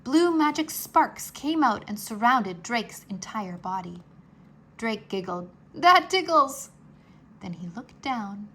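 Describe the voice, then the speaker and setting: A woman's voice: medium pitch, slow, husky. A woman reading a children's story aloud as a scripted narration.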